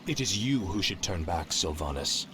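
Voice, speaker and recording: Low-voiced, arrogant young death knight. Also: male voice, animated, moderate pace, background noise. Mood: cold contempt. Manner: haughty, cold, taunting. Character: young male death knight, fallen prince, arrogant villain. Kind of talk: dramatic fantasy video game acting.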